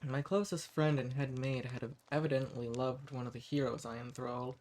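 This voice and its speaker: A male performer plays an ancient vampire living as a hermit, in a roleplay audio drama. His voice sits at a middle pitch for a man. He speaks straight to the listener with sweet endearments and musing hesitations, eccentric and theatrical, with a quiet menace underneath. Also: fairly even intonation, normal speed, slight background noise, close to an everyday voice. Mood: wistful storytelling.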